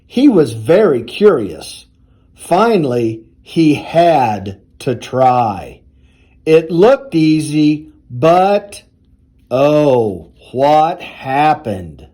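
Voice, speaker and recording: A man reading a children's storybook aloud in a deliberate, expressive storytelling manner. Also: a little high. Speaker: university athletics director reading aloud.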